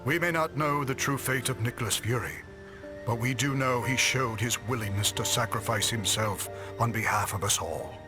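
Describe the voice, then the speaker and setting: An actor playing a clergyman, solemn, measured and reverent, as in a memorial scene of a game. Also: medium pitch, music in the background, natural voice. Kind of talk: solemn eulogy in game cutscene.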